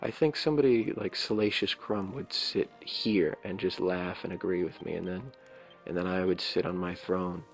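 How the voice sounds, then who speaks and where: A man with a low raspy voice giving rambling, playful ASMR commentary as he plays a video game. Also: fairly even intonation, moderate pace, faint music behind. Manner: rambling, playful, jokingly self-important. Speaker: game streamer. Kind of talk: ASMR let's play commentary.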